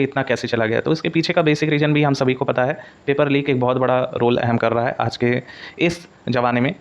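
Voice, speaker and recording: A man giving an informal explanatory update as a coaching presenter, mixing in English words and repeating points for emphasis. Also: medium pitch. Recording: dull, lo-fi audio.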